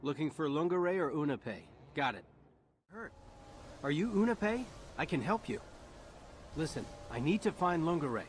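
An actor playing a casual, wisecracking soldier in a borrowed alien body. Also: male voice, fairly high pitch, sing-song, a little slow, pauses often, a little hiss or hum. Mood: wry.

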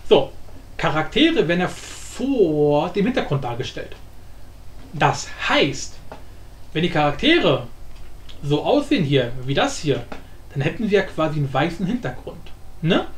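A man hosting a casual software tutorial in a colloquial, mildly crude, joking way. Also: a little high, big pitch swings, measured pace, long pauses, a little hiss or hum. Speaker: tutorial host.

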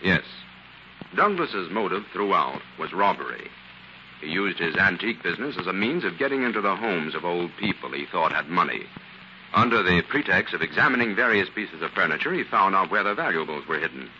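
Very bright, low-voiced radio detective. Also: male voice, animated, brisk, exaggerated voice. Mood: assured, explaining. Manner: authoritative, explanatory. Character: assured male scientist detective explaining a solved case. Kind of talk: 1940s radio acting. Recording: dull, hissy 1940s radio recording.

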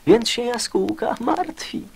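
A man's voice: extremely high pitch, lively intonation, a little slow, creaky, a little mellow, slightly rough. A man narrating children's verse in a theatrical radio style.

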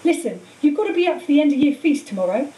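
Storybook part: woman as blunt chatty lad, breezy, animated swoops, echoing room. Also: high voice, quick pace, pitched above the performer's own voice. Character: blunt, chatty, loyal schoolboy friend. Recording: hissy, roomy, distant microphone.

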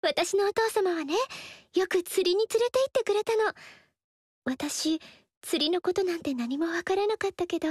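An actress voicing a gentle, friendly young noblewoman in a video game, talking warmly and chattily. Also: very high-pitched, moderate pace, no room sound, clean studio sound. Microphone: studio mic, dry.

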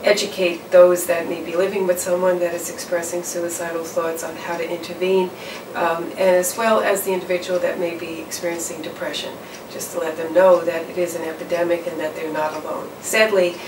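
Middle-aged woman, measured and flat-toned. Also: on the low side. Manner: measured, explanatory, with frequent fillers and restarts. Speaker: campus counseling professional. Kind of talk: interview answers. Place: office or studio in front of a backdrop. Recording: roomy, distant mic with background noise.

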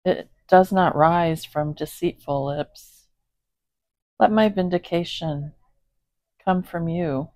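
A low-voiced woman talks slowly and casually at home, recorded off-camera on a phone. She breaks into apologetic asides before settling into a steady reading of a psalm. The sound is close and dry, with no room echo. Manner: slow, chatty, apologetic asides. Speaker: host reading scripture. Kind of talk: casual chat and Bible reading aloud. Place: home, indoors, voice behind camera filming a cat.

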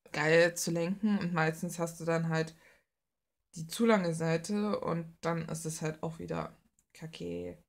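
Low-pitched young woman, casual rambling. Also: creaky, darkish tone. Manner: informal, self-deprecating, mildly crude. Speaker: hobby artist and video host. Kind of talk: casual rambling art process commentary. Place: small cluttered room at home, indoors.

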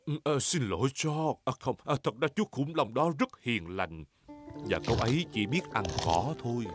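A man voicing a big, jolly daddy pig telling stories in a Vietnamese cartoon dub, playful and animated. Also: medium pitch, moderate pace, over music, natural voice. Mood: gentle, sheepish.

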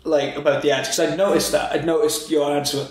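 A man's voice: a little high, flat delivery, fairly quick. A man hosting a casual podcast interview, talking informally and often rephrasing himself. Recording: very echoey room.

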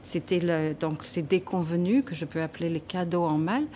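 Low-voiced woman author in a studio. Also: steady tone, moderate pace, warm tone, a little hiss or hum. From Canada. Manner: thoughtful, emphatic, gesturing while talking. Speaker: guest author. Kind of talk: TV studio interview. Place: TV news studio. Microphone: desk microphone.

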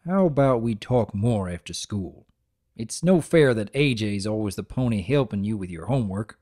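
A narrator voicing a big, gentle male farm pony who talks in a folksy, earnest, laconic way. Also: mid-range voice, expressive, moderate pace, pitched below the performer's own voice, lightly acted. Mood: mild, earnest.

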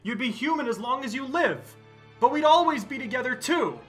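A young vampire mistress in a fan-made comic dub. The voice is high and piercingly bright. The delivery is slow, halting and melodramatic, with music underneath. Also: male voice, animated. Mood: pleading. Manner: drawn-out, halting theatrical delivery. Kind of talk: amateur comic dub acting.

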